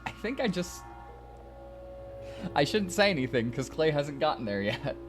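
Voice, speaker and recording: A man's voice: a little high, very animated, normal speed. A man hosting a stream, chatting casually and narrating a visual novel aloud over music. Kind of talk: casual chat and expressive narration of a story. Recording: music behind the voice. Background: background music from the game.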